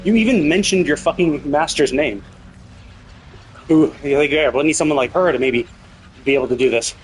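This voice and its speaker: Exasperated male streamer, slightly high voice. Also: moderate pace, soft background music, poor audio. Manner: exasperated, emphatic, swears freely. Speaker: livestreamer. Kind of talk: casual gameplay commentary rant.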